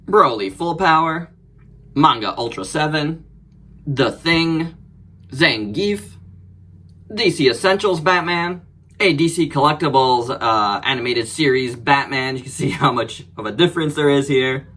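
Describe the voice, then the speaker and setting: A man hosting a casual, unscripted toy review, thinking aloud in short, halting phrases. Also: fairly high pitch, sharp tone. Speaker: toy reviewer and channel host. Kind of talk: casual, unscripted hands-on product review commentary.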